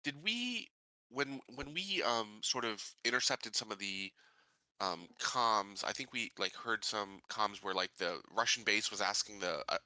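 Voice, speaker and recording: A man playing a tabletop roleplaying game, talking casually and deliberately with frequent hesitant pauses. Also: fairly low pitch, animated, sharp tone, no room sound, rough recording. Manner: deliberate, hesitant, pausing often.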